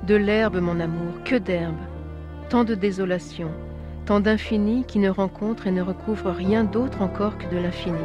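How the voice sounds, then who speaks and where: A woman's voice: medium pitch, fairly even intonation, moderate pace, close to an everyday voice. An actress playing a settler woman reading a tender, lyrical letter to her love in a period drama voice-over. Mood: wistful. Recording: muffled recording with music behind.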